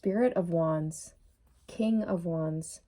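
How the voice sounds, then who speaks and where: A woman's voice: on the low side, dark tone. A woman doing a casual tarot reading who muses aloud with many fillers, saying she is losing her voice. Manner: musing, unhurried, many fillers. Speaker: tarot reader.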